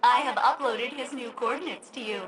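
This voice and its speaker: A woman voicing a malfunctioning female ship computer in a video game, split between formal cheer and sullen sarcastic deadpan. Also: a little high, moderate pace, noisy background, natural voice. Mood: chirpy, formal.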